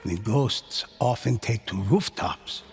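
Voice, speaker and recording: An actor playing a solemn male storyteller who narrates legends in a game, with a slow, grave, measured delivery. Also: medium pitch, lightly acted. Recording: game audio with loud music.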